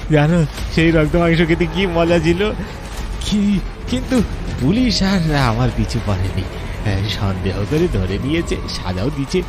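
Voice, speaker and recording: A man voicing a young husband who is secretly a cannibal, gloating over his crimes in an animated horror story. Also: fairly high pitch, measured pace. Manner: gloating, then pleading confessions. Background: strong background music.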